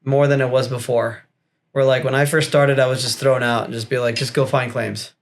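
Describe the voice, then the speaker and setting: A man in his forties from Miami, speaking at an ordinary pitch, sits in as a guest on a casual podcast. He talks bluntly, curses freely, and answers with quick agreement. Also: flat delivery. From Miami, United States. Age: 40s. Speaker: guest, business coach. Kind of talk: casual conversation.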